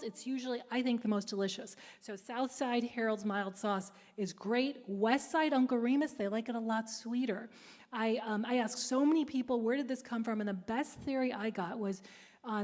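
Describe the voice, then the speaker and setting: Upbeat woman quizzing a library audience. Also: on the high side. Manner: lively, playful quizmaster. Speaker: author. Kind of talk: book talk with audience quiz. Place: public library event space. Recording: reverberant hall.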